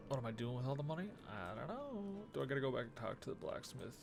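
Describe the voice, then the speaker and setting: A man's voice: medium pitch, very animated, fast talker, vocal fry, background noise. A man doing casual let's play commentary as a streamer, thinking aloud in an offhand mutter. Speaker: video game streamer. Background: game music.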